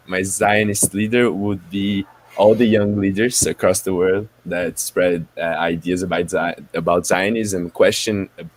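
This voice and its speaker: A man from Brazil, a youth group leader, introducing himself on a panel while searching for words. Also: sits low. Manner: searching for words, many fillers.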